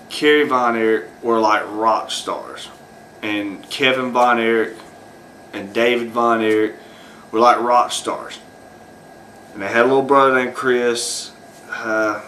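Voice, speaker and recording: A man's voice: medium pitch, sharp tone, slight background noise, rough recording. A man talking off the cuff as host of his own video, rambling with many fillers and restarts. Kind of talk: unscripted solo commentary.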